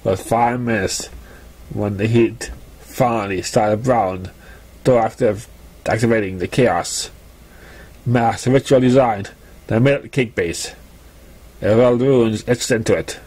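A man reading a story aloud as an amateur narrator, with halting, stumbling delivery. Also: fairly low pitch, a little mellow, husky. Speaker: amateur fan fiction reader.

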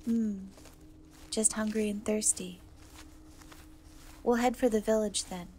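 A woman playing a gentle, caring young cat girl rescuer in a soft-spoken fantasy ASMR roleplay, reassuring and a little hesitant. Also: medium pitch, fairly even intonation, slow pace, slight background noise, natural voice. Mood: earnest, encouraging.

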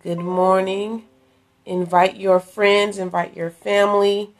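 A middle-aged woman, hoarse from a cold, chatting earnestly into a phone at arm's length in a dim room, inviting viewers to an event. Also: medium pitch, flat delivery, slow. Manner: chatty, earnest, with many fillers and restarts. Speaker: ministry leader promoting an event. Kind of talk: casual live-stream invitation. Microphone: phone held at arm's length.

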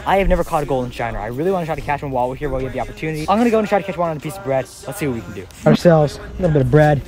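A young man hosting a fishing vlog outdoors, talking excitedly to a handheld camera as he narrates each catch. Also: fairly high pitch, racing, faint music behind. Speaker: fishing vlogger host. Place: outdoors by a pond and city river.

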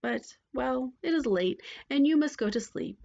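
Woman playing a knowing, creaky old lady. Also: high-pitched, expressive, unhurried, darkish tone, dull audio, voice pushed up, exaggerated voice. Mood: firm, knowing. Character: stern, knowing old grandmotherly storyteller.

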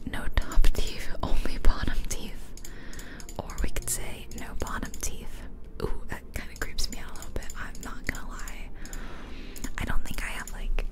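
A woman whispering close to the microphone in an ASMR style, casually musing aloud as she comments on a game. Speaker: ASMR video creator. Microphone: close ear-to-ear ASMR microphone.

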